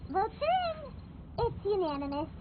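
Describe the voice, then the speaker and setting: A woman's voice: sing-song, a little slow, noisy background, heavily acted. A woman voicing a tiny, cheeky, bossy childlike fairy companion in an anime-style game, with exaggerated delivery. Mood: skeptical. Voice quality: squeaky. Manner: refers to itself in third person, exaggerated. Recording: band-limited, phone-like, music behind.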